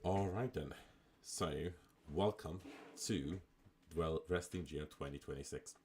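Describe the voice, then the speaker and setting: A man with an extremely low, raspy voice talks through a game on a live stream. He keeps it relaxed and chatty, thinking aloud with plenty of casual asides into a desktop mic. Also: a little slow, slight background noise. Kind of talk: casual live gameplay commentary.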